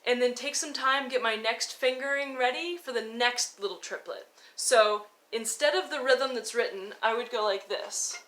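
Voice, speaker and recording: A woman's voice: fairly high pitch, moderate pace, fairly bright tone. A woman giving an instructional violin lesson, coaching informally and encouragingly as she explains and counts out fingerings. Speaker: violin teacher. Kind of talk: instructional music lesson, talking and demonstrating.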